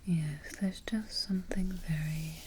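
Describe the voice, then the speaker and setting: A woman playing a fussy, quietly menacing evil queen in a soft, whispered ASMR roleplay, musing with deliberate pauses. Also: low voice, fairly even intonation, a little hiss or hum, low-quality recording. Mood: darkly amused.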